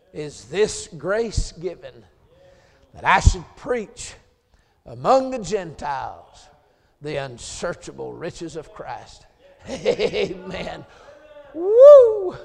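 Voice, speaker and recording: A man in his late forties with a Southern US accent, preaching a revival sermon in a church. He drops into quiet joking asides with the congregation, then climbs to hollered scripture. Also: a little high, gravelly, long reverb tail. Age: 40s. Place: church sanctuary.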